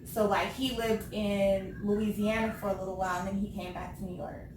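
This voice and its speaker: A woman in her thirties from California, chatting casually on a talk show, laughing often and pausing with ums. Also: mid-range voice, flat delivery. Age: 30s. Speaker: co-host. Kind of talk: casual chat. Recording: echoey room, far from the mic, background noise.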